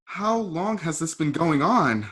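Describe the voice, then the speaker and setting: An actor voicing a playful, excitable onlooker in a radio drama, talking casually. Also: mid-range voice, slow. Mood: amazed.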